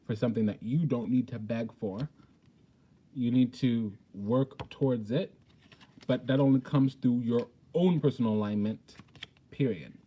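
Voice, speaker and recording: Man, mellow dark tone, mid pitch, tarot reader, blunt, sassy, theatrical emphasis, informal. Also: husky. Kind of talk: informal spiritual tarot reading.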